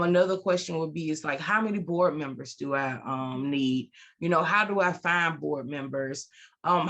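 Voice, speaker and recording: A woman's voice: sits low, fairly bright tone. A woman speaking as an interview guest, a consultant and trainer explaining and promoting her services over a video call.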